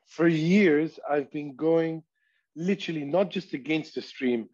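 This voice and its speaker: A man from Saudi Arabia in his thirties, speaking slowly and reflectively as an artist guest on a video call interview, sometimes talking about himself in the third person. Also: fairly high pitch, darkish tone, breathy edge. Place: small room indoors. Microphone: headset on video call. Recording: dull lo-fi call audio.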